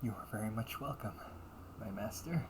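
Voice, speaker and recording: Performer acting a delinquent older brother as cafe butler, medium pitch, formal politeness, grudging edge, amateur audio roleplay, slight background noise. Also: normal speed, natural voice. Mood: courteous, restrained. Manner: stiffly formal service politeness, slipping into muttered threats.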